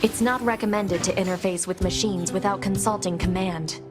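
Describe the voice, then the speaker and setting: A woman's voice: mid-range voice, steady tone, moderate pace, natural voice. A woman playing a composed, strict female combat android in a video game, cool, restrained and matter-of-fact. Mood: cool, stern. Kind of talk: English video game voice acting. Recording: game music playing loudly behind.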